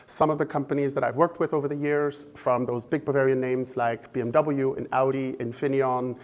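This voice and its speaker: An American man with a slight German-influenced accent, giving an impromptu talk to an audience, halting, with many fillers and restarts. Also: mid-range voice. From United States. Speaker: speaker at a fellowship event.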